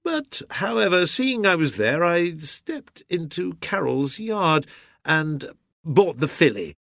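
A man's voice: fairly high pitch, big pitch swings, slow pace, vocal fry, fairly bright tone, no room sound, clean studio sound, voice pushed up, exaggerated voice. A man voicing a hearty, beaming sea captain in an audiobook, with an exuberant, bluff manner and old-fashioned nautical phrasing. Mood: eager, boastful.

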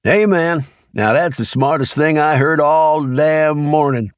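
A sly, easygoing country man in his fifties drawls in a folksy dialect, joking and stubborn, as a male audiobook narrator pitches his voice up for him. The voice sits noticeably higher and a little louder than the narration, and it is recorded dry and close. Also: a little slow, smooth, no echo, natural voice. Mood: amused. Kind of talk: audiobook character voice.

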